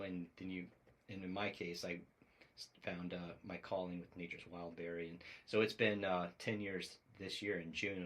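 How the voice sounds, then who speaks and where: A man's voice: low-pitched, sharp tone. A man in his forties talking through his business years on a casual podcast, reflective and rambling with many fillers. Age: 40s. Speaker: podcast co-host.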